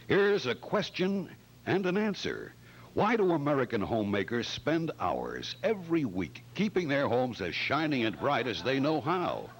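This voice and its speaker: A man announcing in old-time radio style, with a theatrical, emphatic sponsor-pitch delivery. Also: husky, rough recording.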